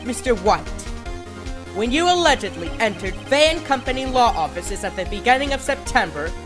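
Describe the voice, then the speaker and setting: A man's voice: very high voice, very animated, normal speed, music in the background. A young man playing an earnest, easily flustered defense lawyer in an emphatic video game fandub. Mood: confident, pressing. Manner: emphatic, theatrical.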